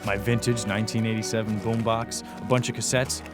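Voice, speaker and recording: A sardonic young amateur ghost hunter played by a man tells his story in a radio drama. His voice is on the low side, wry and grumbling. Music plays clearly behind him. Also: little pitch movement, brisk, lightly acted. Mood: sardonic, uneasy. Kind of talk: first-person radio drama narration and dialogue. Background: loud dramatic music.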